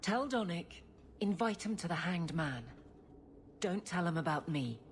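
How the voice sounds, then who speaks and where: An actress playing a proud guard captain who is blunt, flustered and halting, awkward about romance, in a video game cutscene. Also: female voice, lowish voice, expressive, slight background noise.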